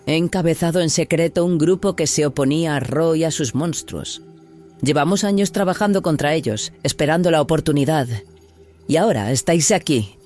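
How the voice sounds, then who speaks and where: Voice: mid-range voice, brisk, darkish tone, faint music behind, natural voice. An actor playing a fervent, hard rebel leader in an audio drama, with an intense, declamatory delivery. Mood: urgent, resolute. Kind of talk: dramatised audio fiction dialogue in Spanish.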